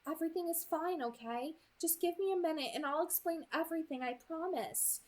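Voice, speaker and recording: A woman's voice: extremely high pitch, lively intonation, takes it slowly, a bit bright, slight background noise, voice pushed up, heavily acted. A woman voicing an anxious, troubled teenage girl in an audiobook, speaking earnestly with some strain. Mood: strained, soothing.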